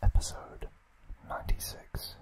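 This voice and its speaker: Male, whispered ASMR narration, very slow, short hushed phrases, flat delivery.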